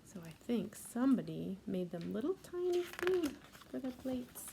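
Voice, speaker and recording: A woman reading a picture book aloud for a library storytime, adding gentle asides about the pictures. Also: fairly high pitch, very animated, moderate pace, vocal fry, slight background noise.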